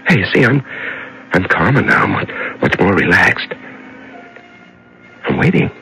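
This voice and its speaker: A lonely captive fighting to keep his sanity in a vintage radio play. He mutters to himself very slowly and haltingly, in a low, gravelly voice with a tense edge. It is dramatic, haunted radio acting. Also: very animated, bright, forceful tone, exaggerated voice, low-quality recording. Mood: tender, fragile calm. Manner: halting, muttering to himself, swinging from whispers to cries. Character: frightened lonely man locked in solitary darkness, clinging to sanity.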